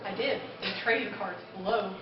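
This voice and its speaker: A woman playing an arrogant, callous rich anime businesswoman in live panel banter, with a deadpan, entitled manner. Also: mid-range voice, moderate pace, close to an everyday voice. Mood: entitled, dismissive. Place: convention panel room, indoors. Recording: echoey, dull, distant, crowd noise.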